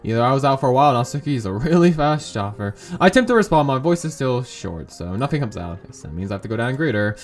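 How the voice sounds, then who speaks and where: A hoarse young man with a sore throat reading a visual novel game aloud and breaking off into casual, rambling asides. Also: mid-range voice, very animated, fast talker, few pauses, soft background music. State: hoarse from a sore throat, voice lower than usual. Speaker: let's play host.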